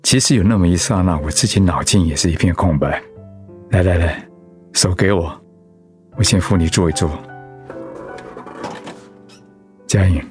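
A man playing a gentle, stoic, ailing middle-aged husband in a radio drama, hesitant and reassuring. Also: low-pitched, fairly quick, faint music behind, lightly acted. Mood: subdued, gentle.

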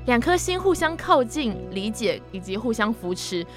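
A woman's voice: a little high, very animated, normal speed, music in the background. A woman, talking conversationally as a podcast co-host. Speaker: podcast co-host and post-production editor.

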